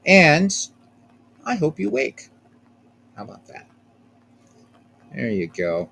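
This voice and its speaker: Husky-voiced man chatting on a stream. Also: a little high, huge pitch swings, slow, bright, forceful tone. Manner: rambling, repeats phrases, chats with viewers by name. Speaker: streamer hosting a baseball sim game. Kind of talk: casual live-stream chat.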